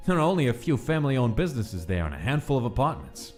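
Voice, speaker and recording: A man's voice: mid-range voice, sing-song, fast talker, a little mellow, soft background music, lightly acted. A male actor playing a pompous, commanding old mafia boss full of disdain in a comic dub. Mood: haughty, commanding. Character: haughty old human mafia don, contemptuous. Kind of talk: comic dub character acting.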